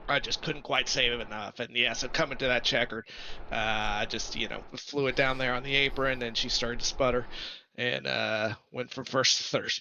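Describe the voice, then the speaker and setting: Man, race driver interview, halting restarts, bright tone, lo-fi audio, faint noise. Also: mid-range voice, steady tone. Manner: hesitant with repeated restarts, laughs easily.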